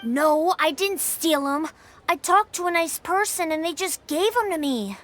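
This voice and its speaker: A woman's voice: very high-pitched, lively intonation, measured pace, fairly bright tone, slight background noise, exaggerated voice. A woman voicing an innocent, eager little boy in a video game, with childlike casual speech. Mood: defensive. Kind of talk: video game character dialogue.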